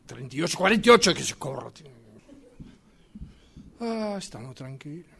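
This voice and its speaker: Gravelly, expressive man. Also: a little high, moderate pace. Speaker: radio drama workshop participant. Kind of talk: acting exercise, numbers as dialogue.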